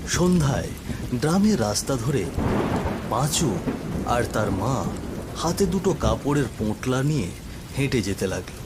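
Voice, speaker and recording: A man's voice: medium pitch, sing-song, unhurried, long pauses, very noisy. A man giving dramatic scripted narration for a cartoon story.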